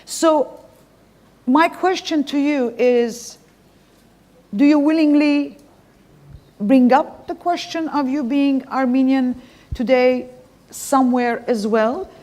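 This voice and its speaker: A woman's voice: high-pitched, a little mellow. Earnest, reflective woman interviewer. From Lebanon. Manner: earnest, reflective, searching for words with pauses. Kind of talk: live public interview.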